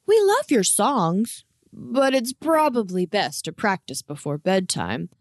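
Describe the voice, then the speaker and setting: A woman voicing an eager, caring, cheerful young girl in a children's storybook, expressive and enthusiastic. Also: medium pitch, takes it slowly, no room sound, clean studio sound. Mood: caring, sweet. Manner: bright-spirited, expressive, enthusiastic.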